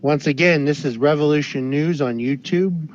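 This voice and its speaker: A man's voice: a little high, moderate pace. A man announcing and greeting callers on a live internet broadcast. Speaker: livestream broadcaster. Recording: dull, slightly noisy livestream audio.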